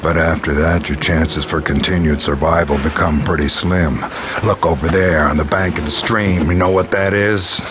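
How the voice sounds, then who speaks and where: An actor playing a sardonic American soldier in a radio drama, taunting a condemned prisoner with mock-friendly, folksy menace. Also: male voice, rumbling low, steady tone, normal speed, noisy background. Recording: music behind the voice.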